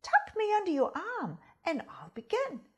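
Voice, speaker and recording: Woman voicing a high, coaxing storybook goose. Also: wildly swooping pitch, unhurried, full character voice. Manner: bright-mannered, polite, coaxing. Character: plump, chatty, quick-witted storytelling goose.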